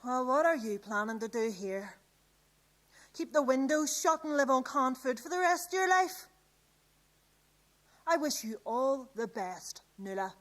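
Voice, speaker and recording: A woman's voice: sits high, animated, measured pace, pauses often, a bit bright. An actress playing a stubborn, restless young woman in a radio drama, defiant and urgent. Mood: frustrated.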